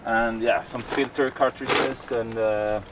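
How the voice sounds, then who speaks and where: A man, chatting casually to the camera on a sailboat deck, relaxed and hesitant with many fillers. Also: mid-range voice, takes it slowly. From Sweden. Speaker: sailing vlogger. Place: outdoors on a sailboat deck on a bay. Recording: distant camera mic with outdoor noise.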